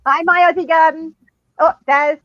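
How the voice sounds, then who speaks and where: A woman's voice: very high voice, steady tone, moderate pace. A woman on a casual livestream panel cheerfully greeting viewers by name. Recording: dull lo-fi audio.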